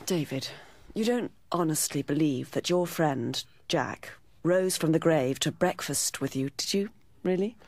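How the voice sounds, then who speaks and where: A woman's voice: low voice, animated, a little slow, hardly any pauses, a little mellow, no echo, heavily acted. A woman playing a frank, flirtatious and reassuring British woman in a horror audio drama. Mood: soothing, sceptical. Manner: frank, soothing. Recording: music under the voice.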